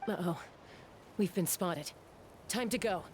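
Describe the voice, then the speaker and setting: A woman's voice: on the low side, a little slow, background noise, lightly acted. A woman voicing a composed, dutiful young warrior in a video game, dry and matter-of-fact. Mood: alert.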